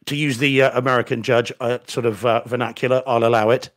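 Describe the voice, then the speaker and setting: Measured man from New Zealand. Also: mid-range voice, flat delivery, a bit bright. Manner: measured, with many restarts and dry asides. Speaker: podcast co-host, solicitor. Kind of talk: casual chat. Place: home room, indoors. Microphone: desk microphone on video call.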